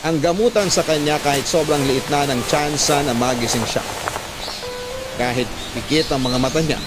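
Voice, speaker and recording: A man reading a scripted first-person story as a drama podcast narrator, in an earnest, dramatic way. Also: medium pitch. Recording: voice over loud music bed.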